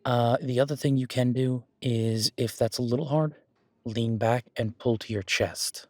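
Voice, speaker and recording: A man's voice: mid-range voice, steady tone, unhurried, dry recording, lightly acted. A man playing a friendly, patient werewolf boyfriend who coaches a workout in a casual, instructive audio roleplay. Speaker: gym training coach in roleplay.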